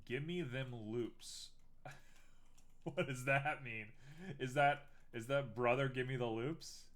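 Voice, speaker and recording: A man's voice: medium pitch, takes it slowly, a bit bright. A young man streaming live while making art, chatting casually and teasing himself as he works. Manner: chatty, self-mocking, thinks aloud while working.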